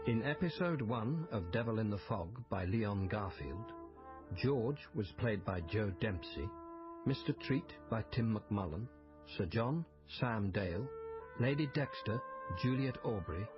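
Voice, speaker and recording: A man's voice: lowish voice, animated, a little slow, muffled recording, over music. A man working as a radio continuity announcer, reading scripted drama credits.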